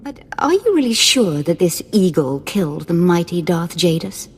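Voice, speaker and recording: A woman's voice: lowish voice, big pitch swings, normal speed, husky, big-room sound, heavily acted. A woman voicing a playful, cruel young female Sith lord in a video game, with a mocking, theatrical, sing-song delivery. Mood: calm.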